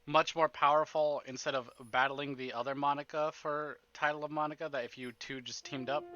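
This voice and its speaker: A man's voice: on the high side, sharp tone, lo-fi sound. A man hosting a casual livestream, chatting to viewers in a playful, rambling, exclamatory way and often repeating himself. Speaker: livestream host, gamer.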